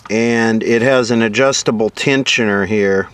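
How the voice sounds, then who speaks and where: A man's voice: on the low side, measured pace, fairly bright tone, clear, resonant tone. A man giving casual off-the-cuff commentary as he looks over old equipment, musing aloud and asking himself questions with a sardonic streak. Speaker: host of a vintage radio repair video.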